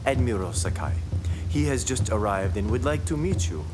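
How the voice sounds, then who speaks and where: A low-pitched, courteous island prince played by a man in an audio drama. He speaks formally and earnestly, with measured politeness, over clear music. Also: expressive, lightly acted. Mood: formal, polite.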